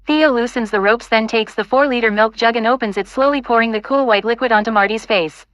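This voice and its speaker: A woman's voice: on the high side, fairly quick, fairly bright tone, no echo. A woman reading scripted stage directions as the narrator of an audio play.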